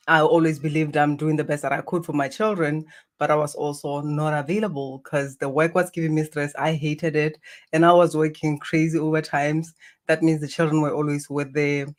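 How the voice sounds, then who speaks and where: A woman's voice: deep voice, fairly even intonation, normal speed. A middle-aged woman from Botswana, a native Setswana speaker with a Botswana accent, reflecting on her life as an interview guest. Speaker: interview guest, spiritual healer. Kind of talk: reflective personal storytelling.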